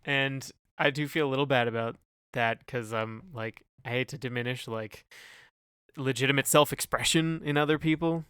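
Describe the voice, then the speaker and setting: A man's voice: medium pitch, fairly even intonation, normal speed, bright, forceful tone, clean tone, no room sound. A man co-hosting a podcast in casual discussion, hedging and thinking aloud as he goes. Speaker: podcast co-host. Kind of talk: casual discussion of song lyrics.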